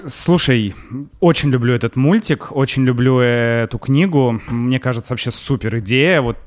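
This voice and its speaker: A man from St Petersburg with a St Petersburg accent, co-hosting a casual video chat, relaxed and full of fillers and restarts. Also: mid-range voice, moderate pace. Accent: St Petersburg Russian. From St Petersburg, Russia. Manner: relaxed, chatty, many fillers and restarts, laughs easily. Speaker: video co-host.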